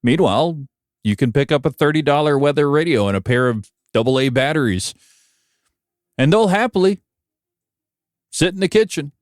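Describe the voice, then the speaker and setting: An American man giving conversational solo commentary as a podcast host, wry and emphatic, pausing for effect. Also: fairly high pitch, expressive, no room sound, clean studio sound. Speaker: podcast host and broadcast engineer.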